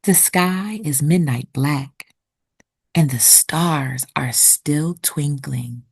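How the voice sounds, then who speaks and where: A woman narrating for children like a teacher, with deliberate, expressive enunciation. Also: deep voice, creaky, husky. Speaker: read-aloud host and narrator. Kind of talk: children's picture book read-aloud with vocabulary teaching.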